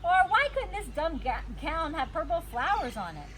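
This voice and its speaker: A woman voicing an excitable, dramatic little girl in a children's storybook, with emphatic childlike delivery. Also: extremely high pitch, moderate pace, slight background noise, voice pushed up, exaggerated voice. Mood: grumbly. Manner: emphatic, childlike exclamations and grumbles. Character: excitable dramatic little girl narrating her own mishaps. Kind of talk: children's storybook read-aloud.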